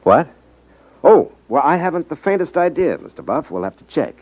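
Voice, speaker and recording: An actor playing a sly, cool, confident trickster who feigns innocence in a 1950s radio drama. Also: male voice, on the high side, sing-song, moderate pace, darkish tone, no room sound. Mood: sly, self-assured. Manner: coy, unruffled, feigns innocence. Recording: muffled 1950s radio recording.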